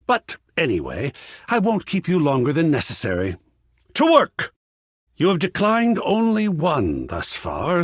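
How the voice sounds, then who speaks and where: Flamboyant gravelly art lover, wildly swooping. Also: male voice, mid-range voice, no echo, clean studio sound, exaggerated voice. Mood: wry, musing, then eager, showy. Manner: theatrical, effusive, grandiose, verbose. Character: flamboyant, excitable male art lover, pretentious and dramatic. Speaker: voice actor in a video game. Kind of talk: theatrical video game character acting.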